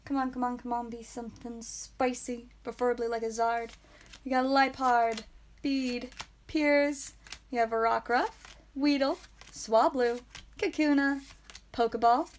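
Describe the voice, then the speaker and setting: A woman hosting a live card pack-opening stream, chatting playfully and saying sound effects aloud, with a calming voice. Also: fairly high pitch, a little slow, a little hiss or hum. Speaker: trading card stream host. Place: indoor room with whiteboard.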